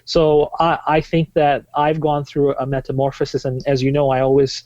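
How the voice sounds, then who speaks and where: A man's voice: mid-range voice, completely flat delivery, moderate pace, darkish tone, dry recording, lo-fi sound. A man in his thirties from Tanzania, answering podcast questions as a marketing guest, fluent but with many "uh" fillers and restarts.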